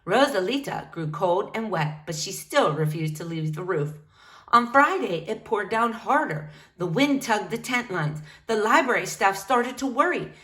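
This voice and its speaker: A woman reading a picture book aloud to young children in an expressive, animated way. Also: medium pitch, moderate pace. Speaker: storybook reader.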